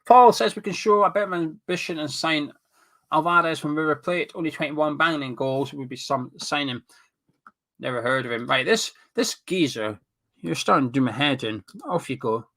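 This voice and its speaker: Scottish man with a bright, blocked-up voice. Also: on the high side, normal speed. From Scotland. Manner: chatty, banters with chat, drifts off topic. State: sniffly and blocked up with a cold. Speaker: live stream host. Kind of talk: casual live-stream chat reading viewer comments. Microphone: webcam.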